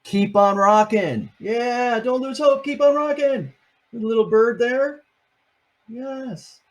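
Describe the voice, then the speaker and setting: A man's voice: very high-pitched, takes it slowly. An older man, a grandfather, reading a picture book aloud to his grandchildren and chatting about each page. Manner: chatty, explains each page in plain words.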